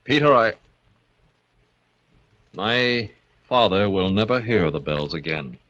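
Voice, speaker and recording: An actor playing a haunted, brooding young heir, narrating his own tale dramatically and haltingly in 1940s radio style. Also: fairly low pitch, lively intonation, no echo. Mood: subdued. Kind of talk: 1940s radio acting, first-person narration. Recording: muffled vintage radio broadcast over loud music.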